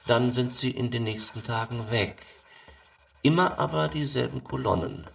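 An actor playing a callous camp overseer in a dramatised documentary monologue, detached and matter-of-fact. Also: male voice, mid-range voice, moderate pace, gravelly.

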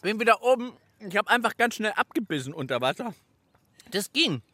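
A man's voice: high-pitched, sing-song, a little fast, pushed and bright, husky, no room sound. A man, chatting casually and playfully as host of a children's radio show.

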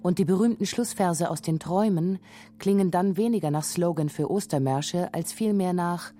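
A woman narrating a scripted radio feature in a sober, measured manner. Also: on the low side, warm tone. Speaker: documentary narrator.

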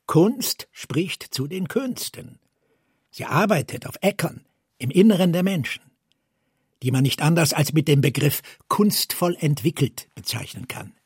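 A man reads literary prose aloud. His voice is on the high side for a man and very husky, and the sound is dry with little room in it. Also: animated, unhurried, dry recording. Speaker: co-reader. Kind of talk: literary reading of prose and quotations.

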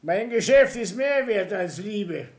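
An older Bavarian contractor with a high-pitched male voice. He is proud and bitter, holding forth self-righteously and giving orders, in radio drama style. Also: lively intonation, slow pace, heavily acted. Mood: scornful, proud. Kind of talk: German radio play acting.

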